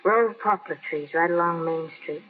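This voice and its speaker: An actress playing a gentle young small-town woman reporter in an old radio drama. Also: lowish voice, moderate pace, lightly acted. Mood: wistful, fond. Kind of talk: 1930s-40s radio drama acting. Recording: tinny, noisy old radio broadcast.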